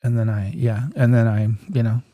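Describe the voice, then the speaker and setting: A man's voice: medium pitch, little pitch movement, normal speed, no echo, lo-fi sound. A man in his fifties telling stories casually as an interview guest, in a folksy, plain-spoken way, often quoting remembered conversations. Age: 50s. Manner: anecdotal, acts out remembered dialogue, folksy plain-spoken phrasing. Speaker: guest, physical therapist and clinic owner.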